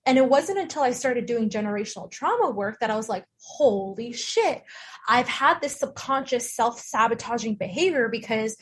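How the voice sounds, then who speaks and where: Candid woman coach, fairly high voice. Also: lively intonation, normal speed, slightly rough. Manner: candid, emphatic, casual. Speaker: guest, life coach. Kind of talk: personal storytelling in interview.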